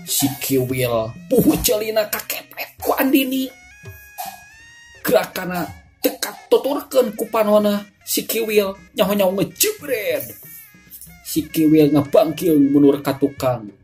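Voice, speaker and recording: A woman's voice: medium pitch, lively intonation, measured pace, a little mellow, soft background music. A woman with a Sundanese accent narrating a folk tale in a dramatic storytelling style.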